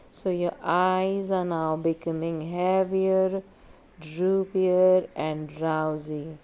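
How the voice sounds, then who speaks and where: A woman leading a guided meditation in a measured, hypnotic way, giving repetitive instructions with long pauses. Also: medium pitch.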